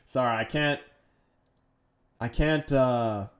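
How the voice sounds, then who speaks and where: A man's voice: mid-range voice, slow pace. Chatty English man streaming a match. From England. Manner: chatty, reads viewer comments aloud, swears casually. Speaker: livestream host. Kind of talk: casual live match commentary and chat.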